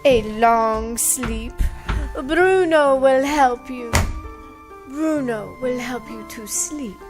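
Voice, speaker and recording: An ominous fortune-teller in a vintage radio mystery. Her high voice moves with extreme slowness, every phrase solemn and portentous. She commands like an oracle, with faint music underneath. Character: imperious, ominous mystic fortune-teller with crystal ball. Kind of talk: old-time radio drama acting.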